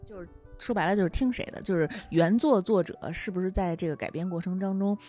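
A woman's voice: lowish voice, fast talker, mellow. A woman hosting a casual chat, reacting and summing up for listeners. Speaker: podcast host. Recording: background music under the voice.

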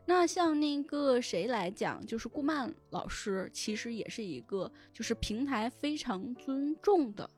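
A woman's voice: fairly high pitch, lively intonation, measured pace, few pauses, darkish tone, soft background music. A woman in a casual podcast chat, explaining things with frequent small affirmations. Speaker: podcast panelist discussing adaptations of novels.

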